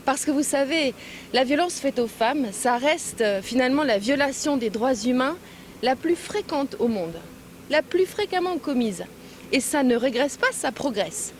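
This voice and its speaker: A woman speaking as an official at a public event, phrasing deliberately with frequent hesitant pauses, recorded on location. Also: high-pitched, animated, a little hiss or hum. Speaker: European Union official giving a speech and interview. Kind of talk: public speech and press remarks.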